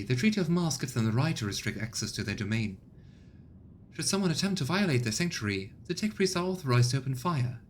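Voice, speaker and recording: A man voicing a formal, deferential ship's officer in a video game, measured and courteous. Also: a little high, a little hiss or hum, pitched above the performer's own voice. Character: formal, deferential officer advising a starship lord.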